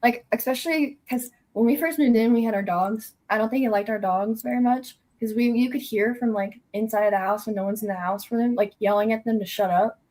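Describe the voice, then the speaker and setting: A woman's voice: fairly high pitch, fairly quick, few pauses, warm tone. A young adult woman guest recounting events casually, rambling and often saying "like". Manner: rambling, with many fillers of "like". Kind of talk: casual storytelling.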